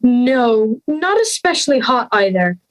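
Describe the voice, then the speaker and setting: Schoolgirl lead for audio drama, young female actor: anxious, earnest, high and animated, unrushed delivery. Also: measured pace.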